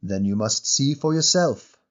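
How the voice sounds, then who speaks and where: A man voicing a kindly, amused, very old male fruit seller with a gentle, teasing, unhurried manner. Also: mid-range voice, lively intonation, lightly acted. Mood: gently teasing. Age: elderly. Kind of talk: audio short story character voice.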